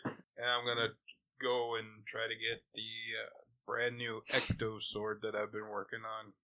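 A man's voice: lowish voice, monotone, unhurried, highs cut off. A man playing a tabletop game, chatting casually and crudely as he joking describes what his character does.

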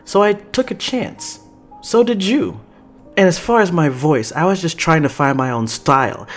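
A man's voice: medium pitch, unhurried, soft background music, close to an everyday voice. A man voicing a pouting, defiant young female fighter in a petulant, indignant way for a story.

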